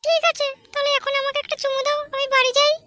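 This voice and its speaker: Man doing a squeaky, whiny cartoon girlfriend. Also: level tone, fast, sharp tone. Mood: affectionate. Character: young woman, pleading, nagging girlfriend of a hot-headed slacker. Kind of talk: amateur comedy cartoon dub.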